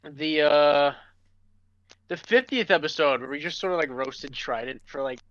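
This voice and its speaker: Bright-voiced man in a slightly roomy recording. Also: on the high side, moderate pace, highs cut off. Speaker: podcast co-host. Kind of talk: casual chat.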